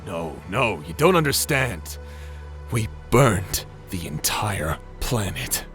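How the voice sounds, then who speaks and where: A man performs a remorseful former space pirate in a sci-fi audio drama. The voice is very low and slow, halting between phrases and weighed down with grief. Music plays softly underneath. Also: sing-song, heavily acted. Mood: haunted, remorseful. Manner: halting, heavy with pauses. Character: grief-stricken, guilt-ridden male ex space pirate brother. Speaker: voice actor in an audio roleplay.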